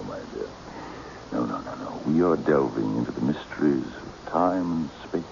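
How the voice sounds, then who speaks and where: Creepy occult leader, super deep gravelly voice, grand and hypnotic, old muffled radio drama. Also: male voice, noisy background, heavily acted. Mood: grandiose, condescending. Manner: grandiose, condescending, hypnotic coaxing. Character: theatrical, sinister occult leader, imperious and coaxing. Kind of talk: old-time radio mystery acting.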